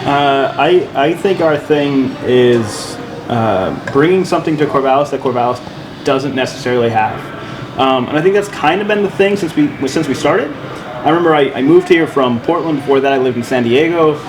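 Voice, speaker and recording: A man's voice: mid-range voice, moderate pace. Man, winemaker, podcast co-host, laid-back, rambling, noisy hum behind. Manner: relaxed, rambling storytelling with fillers. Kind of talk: casual podcast chat. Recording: steady background noise.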